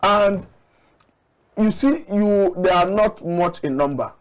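A middle-aged man from Nigeria, a studio guest analyst, making measured, argumentative points with occasional restarts, on a lapel mic. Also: high voice. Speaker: public affairs analyst guest. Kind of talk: studio TV discussion. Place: TV studio set.

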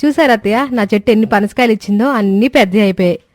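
A woman's voice: medium pitch, rapid-fire, darkish tone, soft background music, close to an everyday voice. A woman playing an eager, boastful and scheming young daughter-in-law in a cartoon moral story.

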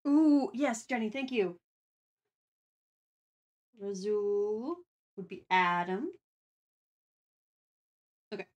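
A woman hosting a livestream, chatting casually and thinking aloud in a deliberate way. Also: medium pitch, lively intonation, darkish tone. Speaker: stream host.

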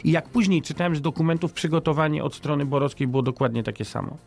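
A middle-aged man speaks into a boom-mounted studio mic as a radio guest, his voice a touch high and full of gravelly fry. He halts and restarts, then drives his points home with emphasis. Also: fast, faint music behind. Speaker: politician guest. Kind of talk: radio interview. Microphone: studio microphone on boom arm.

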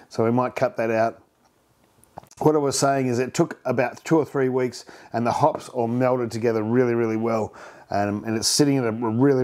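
A man's voice: mid-range voice, a little mellow. A man in his fifties with an Australian accent, hosting a home brewing vlog from his home bar in a chatty, rambling way full of ums and restarts. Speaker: home brewing channel host. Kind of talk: casual beer review vlog. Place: home bar room, indoors.

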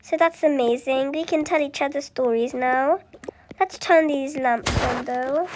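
A young-sounding speaker with a soft, childlike manner, acting out family dialogue in an improvised roleplay story. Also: high voice, a little slow, noisy background. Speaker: voiced roleplay video creator.

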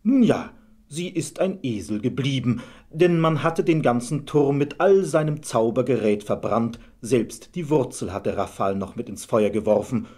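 A man with a husky voice narrating a scripted fairy tale as a storyteller. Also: mid-range voice, expressive, measured pace, darkish tone.

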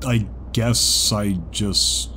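A man voicing a reserved, awkward zebra wanderer in an audiobook drama, hesitant and measured. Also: on the low side, steady tone, pushed and bright, a little hiss or hum, full character voice. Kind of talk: audiobook character acting. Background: music.

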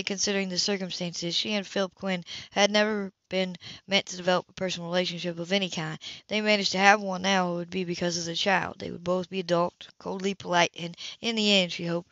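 A woman's voice: fairly low pitch, fairly bright tone, no echo. A woman narrating a novel as an amateur audiobook reader, occasionally stumbling over words. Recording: dull lo-fi home recording, dry.

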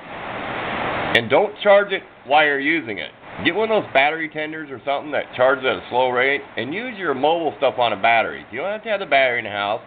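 A man's voice: medium pitch, very animated, fairly quick. Folksy American man, tinny noisy recording. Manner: plain-spoken, rambling, folksy and self-deprecating. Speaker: hobbyist radio demonstrator. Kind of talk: casual unscripted show-and-tell narration. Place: indoors at a workbench. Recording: tinny phone-like sound with clear background noise.

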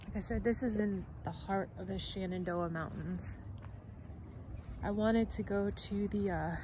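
A woman's voice: medium pitch, fairly even intonation, a little slow, warm tone, a little hiss or hum, low-quality recording. A woman filming a casual walking vlog outdoors on her phone, chatty and hesitant, often trailing off and sometimes dropping to a near whisper. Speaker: vlogger. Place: outdoor cemetery on a sunny day.

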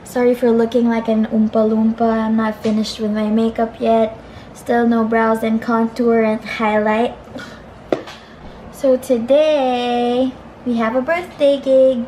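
A woman's voice: on the high side, little pitch movement, unhurried, mellow. A young woman with a Filipino accent vlogging casually to a handheld camera, playful and giggly, switching between Tagalog and English. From Philippines. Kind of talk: casual vlog chat. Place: busy gym, then indoors at home. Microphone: handheld camera at arm's length. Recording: noisy gym with crowd.

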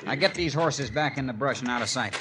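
A man's voice: medium pitch, a little fast, natural voice. Cold western outlaw, voiced by a man, menacing drawl, mid-range voice, hissy old broadcast. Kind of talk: 1950s radio drama acting. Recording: noisy old radio broadcast.

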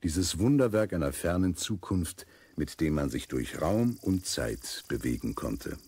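A man playing the calm storyteller of a children's adventure audio drama, reading scripted narration. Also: low voice, measured pace, husky, full character voice.

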